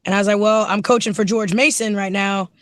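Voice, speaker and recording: Hoarse raspy-voiced woman coach. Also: medium pitch, steady tone, brisk, no room sound. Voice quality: naturally raspy voice. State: hoarse from yelling at practice. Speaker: running backs coach, guest. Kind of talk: casual interview.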